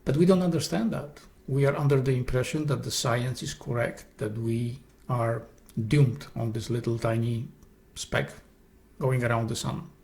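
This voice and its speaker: A man with a Polish accent, Polish his first language, hosting an esoteric presentation in an earnest, halting way with frequent restarts. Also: mid-range voice, fairly even intonation, gravelly, a little mellow. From Poland. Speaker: host.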